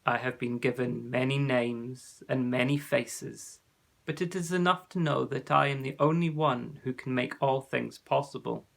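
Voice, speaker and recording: A man's voice: mid-range voice, measured pace, gravelly, fairly bright tone. A man voicing a wise, mysterious warrior guide in a storybook, solemn and commanding.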